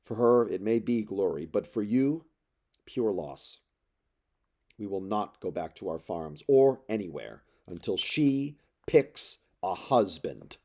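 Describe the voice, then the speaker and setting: A man reading aloud plays an arrogant suitor in an epic tale. He accuses sternly and declaims in measured phrases, with a soft, dark timbre. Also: fairly low pitch, lively intonation. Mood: stern, accusing. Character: arrogant, accusing male suitor delivering an ultimatum. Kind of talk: dramatic epic poetry read aloud.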